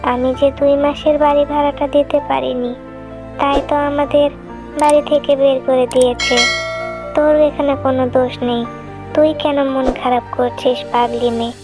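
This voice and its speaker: A woman voicing a humble, gentle widowed mother fox in a children's cartoon story. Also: high-pitched.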